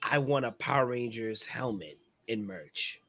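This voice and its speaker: A man's voice: medium pitch, a little slow, fairly bright tone. A man co-hosting a casual geek talk show, joking in a scattered way and laughing mid-sentence. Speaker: co-host. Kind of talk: casual podcast chat.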